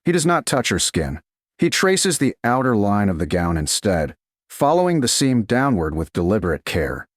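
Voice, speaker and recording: A low-pitched man narrates a romantic tale in a deliberate, measured manner. His pitch moves expressively through short dramatic phrases. The recording is close and dry, with no room sound. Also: sing-song, dry recording. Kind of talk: scripted narration of a romance story.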